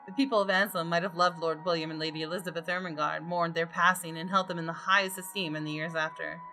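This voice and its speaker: A woman reading visual novel narration aloud with deliberate, dramatic storytelling. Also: lowish voice, steady tone, pushed and bright. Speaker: gaming video host. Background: music.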